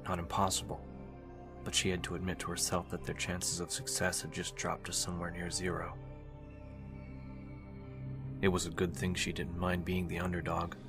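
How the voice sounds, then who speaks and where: A man's voice: deep voice, completely flat delivery, normal speed, long pauses. A man reading scripted dramatic narration for an audiobook. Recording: music behind the voice.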